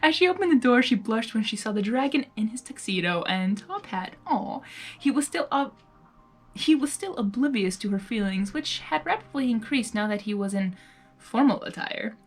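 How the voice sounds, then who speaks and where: A woman reading fan fiction aloud with giggly, excitable asides. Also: on the high side, normal speed. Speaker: fan fiction reader and channel host. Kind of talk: animated blind reading of a story with casual asides.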